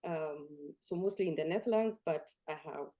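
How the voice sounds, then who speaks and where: A woman's voice: on the low side, normal speed, mellow. A woman from Kenya hosting an online coaching webinar, speaking with frequent hesitations. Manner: hesitant, many fillers. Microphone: video call.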